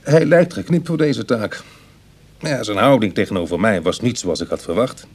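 A man playing a roguish, world-weary reformed burglar in a radio drama, speaking in a musing, colloquial way with a husky, gravelly voice. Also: fairly low pitch, animated, normal speed. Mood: musing, conversational. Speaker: actor in a radio play. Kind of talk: Dutch radio drama acting, dialogue and confessional narration.

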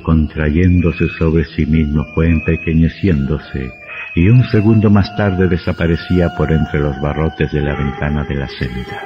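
Deep, ominous horror storyteller. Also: male voice. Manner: slow, ominous, theatrical, with cackling laughs. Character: sinister male horror host telling a macabre tale. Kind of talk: old radio horror narration. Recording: dull old radio recording with music.

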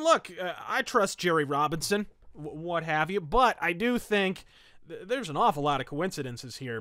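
A man's voice: fairly high pitch, bright, forceful tone, no room sound, clean studio sound. A man hosting a podcast, giving casual review commentary in an enthusiastic, opinionated and colloquial way. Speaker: podcast host reviewing pulp fiction and old radio.

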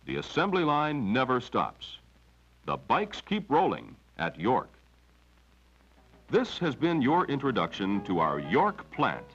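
A middle-aged man narrates a company film in a measured, promotional tone. His husky voice rises and falls widely through each line. The sound is dull and lo-fi, like an old 1970s film soundtrack. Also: medium pitch, huge pitch swings, fairly bright tone. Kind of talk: scripted corporate narration.

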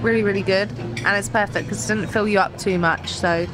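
A woman's voice: medium pitch, fairly even intonation, fairly quick, over music, low-quality recording. Chatty young British woman vlogging. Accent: British English. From Britain. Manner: chatty, enthusiastic, lots of "like". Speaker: food vlogger. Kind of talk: casual vlog chat to camera. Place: large indoor mall buffet restaurant.

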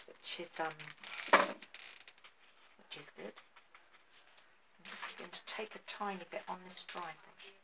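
A woman's voice: mid-range voice, noisy background. A middle-aged woman giving a casual craft tutorial, thinking aloud with many ums while she paints at a table, recorded on a phone. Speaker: artist demonstrating garden ornament painting. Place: indoor work table.

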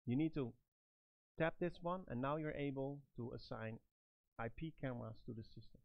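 A middle-aged man giving step-by-step product instructions in a halting, phrase-by-phrase manner. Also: medium pitch, creaky, no room sound, dull audio. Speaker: product trainer and presenter.

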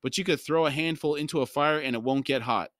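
A man's voice: a little high, quick pace, fairly bright tone, no room sound, clean studio sound, close to an everyday voice. A man voicing an earnest young male soldier who speaks eagerly and politely to his commander in a read-aloud fantasy story.

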